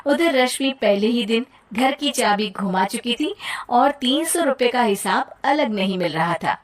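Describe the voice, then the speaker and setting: A woman narrating a cartoon moral story in a plain, even storytelling manner. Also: a little high.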